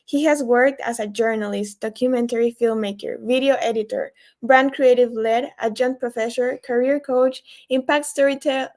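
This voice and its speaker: A woman's voice: on the high side, fairly even intonation, moderate pace, hardly any pauses. A woman moderating an online session, reading out a speaker introduction with a few stumbles. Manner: warm scripted intro, some stumbles.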